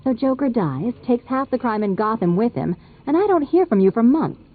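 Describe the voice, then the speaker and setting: An actress playing a brisk, teasing woman with a wry, nagging manner in a video game. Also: a little high, sing-song, soft background music. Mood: wry, coaxing. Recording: muffled, phone-like lo-fi sound.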